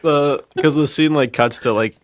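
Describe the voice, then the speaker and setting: A man's voice: fairly high pitch, normal speed, dry recording, clean studio sound. A man chatting casually on a podcast in a slangy, enthusiastic way, prone to chuckling. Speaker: podcast co-host.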